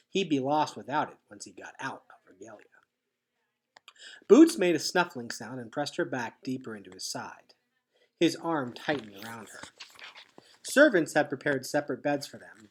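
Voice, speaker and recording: A man reads a storybook aloud in an expressive, teacherly way. His voice is husky and sits at a middle pitch, swinging up and down as he stresses phrases. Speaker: teacher reading a chapter aloud. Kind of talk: read-aloud storybook narration.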